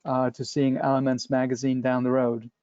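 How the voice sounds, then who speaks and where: A middle-aged or older man with a Polish accent makes a casual comment in a measured, hesitant way. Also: medium pitch, little pitch movement, a little mellow, clear, resonant tone, no room sound. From Poland. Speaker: audience participant. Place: online video meeting. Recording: dry, dull online-call audio.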